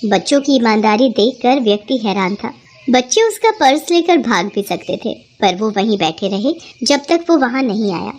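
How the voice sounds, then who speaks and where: High-pitched woman storyteller. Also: sing-song, normal speed, a little mellow. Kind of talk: scripted narration of a Hindi moral story.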